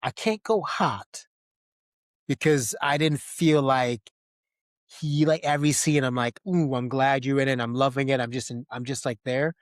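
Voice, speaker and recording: A man's voice: medium pitch, steady tone, moderate pace, dry recording, clean studio sound. A man hosting a podcast in a casual, conversational film discussion. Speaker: podcast co-host.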